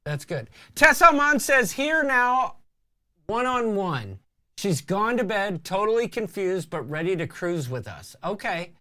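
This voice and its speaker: A man in his fifties with a sharp, bright voice that swings widely in pitch. He hosts a livestream with animated, teasing banter and reads out comments from viewers. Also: measured pace. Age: 50s. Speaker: live show host reading viewer comments.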